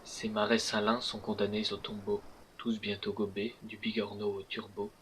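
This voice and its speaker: A man reciting scripted verse in a measured, declamatory way, pausing between phrases. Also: on the low side, monotone, fairly bright tone, a little hiss or hum, poor audio.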